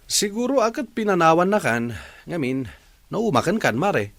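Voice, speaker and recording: A man's voice: sits high, big pitch swings, normal speed, creaky, a bit bright, no room sound, full character voice. A man playing a plain-spoken family friend in a radio drama, giving admonishing, reproachful advice.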